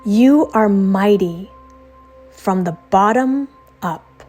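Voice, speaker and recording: A woman narrating a scripted guided meditation in a measured, soothing, instructional way. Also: medium pitch, animated. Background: soft background music.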